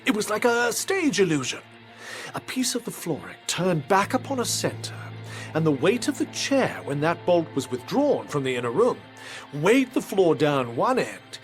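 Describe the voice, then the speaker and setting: An assured Georgian gentleman detective played by a man, high in pitch and very animated. He speaks with precise, dramatic authority, as in a period audio drama over soft music. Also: unhurried, full character voice. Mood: intrigued, dramatic.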